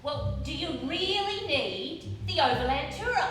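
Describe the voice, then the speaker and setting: Slow, high-voiced worried bookkeeper Snell. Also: female voice, lively intonation, background noise. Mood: worried, reproving. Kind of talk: stage radio play acting. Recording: echoey theatre with music underneath.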